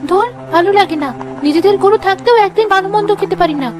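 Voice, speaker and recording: A woman's voice: extremely high pitch, quick pace. A woman voicing a young village daughter in a cartoon fairy tale, with a girlish, slightly whiny delivery. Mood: sulky. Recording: music behind the voice.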